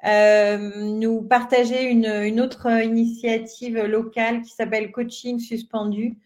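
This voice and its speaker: A woman's voice: on the high side, level tone, a little slow, a little mellow. A woman from northern France speaking on a webinar panel, explaining things earnestly with frequent hesitations. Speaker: panelist, self-employed business owner.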